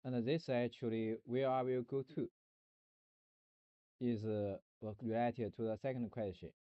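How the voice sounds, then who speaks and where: A man streaming a rambling philosophical monologue, halting and searching for words, often restarting and repeating himself. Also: mid-range voice, fairly even intonation, no echo, highs cut off.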